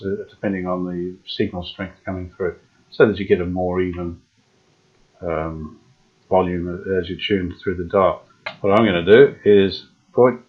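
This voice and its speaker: A man restoring an old radio, explaining each step in an unhurried way with many pauses and fillers. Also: low voice, husky, lo-fi sound. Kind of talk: casual workshop demonstration.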